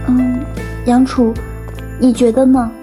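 An actress playing a troubled, devoted young student and older sister in an audio drama. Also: female voice, high-pitched, little pitch movement, slow pace, mellow, soft background music. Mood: sad, hesitant. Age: young adult. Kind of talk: Chinese audio drama acting.

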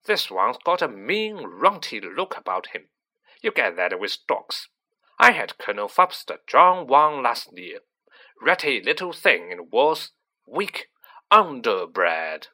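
Man putting on a loud, boozy, spiteful aunt. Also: medium pitch, sing-song, takes it slowly, exaggerated voice. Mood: condescending. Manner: blustering, emphatic, contemptuous. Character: boorish, spiteful, boozy middle-aged aunt, loud and snobbish. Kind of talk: storybook character voice.

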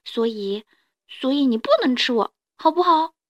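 A woman's voice: sits high, expressive, normal speed, highs cut off. A woman voicing a sweet, tearful, grateful baby Spinosaurus boy in a storybook reading, childlike and pleading.

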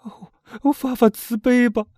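A man voicing a desperate middle-aged noble mother, pleading in a strained, high falsetto. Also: fairly even intonation, measured pace, a little mellow, no room sound, clean studio sound.

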